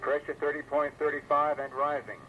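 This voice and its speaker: A man's voice: on the high side, level tone, normal speed. Lo-fi weather radio report from a man. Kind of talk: scripted current conditions report. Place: broadcast over weather radio. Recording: lo-fi 1980s weather radio, faint noise.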